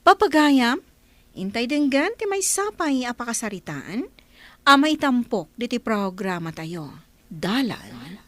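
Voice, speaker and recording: A woman's voice: on the high side, very animated, measured pace, a bit bright, no room sound. A woman announcer delivering scripted narration to introduce a radio drama.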